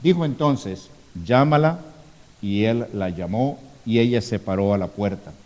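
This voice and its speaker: Mellow-voiced pastor preaching in a reverberant hall. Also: male voice, lowish voice, unhurried. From Ecuador. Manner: teaching, rhetorical asides, reads scripture aloud. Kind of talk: sermon. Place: church hall, live stream.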